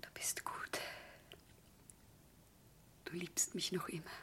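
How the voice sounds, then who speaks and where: An actress playing a grieving, anxious Parisian wife in an old German radio drama, hushed and halting. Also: female voice, whispered. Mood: pleading, tender. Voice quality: breathy, hushed. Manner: slow, halting, dramatic delivery.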